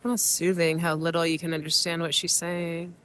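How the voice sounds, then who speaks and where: A woman's voice: fairly low pitch, level tone, normal speed, a bit bright, a little hiss or hum. A young woman from Mexico whose first language is Spanish, co-hosting a podcast with meandering, reflective casual chat full of fillers and restarts, recorded at an airport. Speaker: podcast co-host. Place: airport waiting area.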